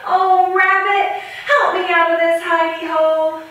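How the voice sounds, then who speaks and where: A woman's voice: very high-pitched, flat delivery, unhurried, a bit bright, pitched above the performer's own voice. A woman voicing a lazy, hungry, scheming lion in a children's puppet story. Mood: pleading. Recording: roomy, echoing, far from the mic.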